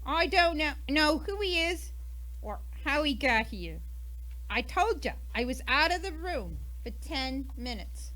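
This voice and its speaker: Woman playing feisty high-pitched American suspect, sharp and animated. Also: a little slow, exaggerated voice, poor audio. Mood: defensive, protesting. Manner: brash, snappy, huffy. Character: feisty, defensive, indignant single American woman, murder suspect. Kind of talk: old-time radio mystery acting.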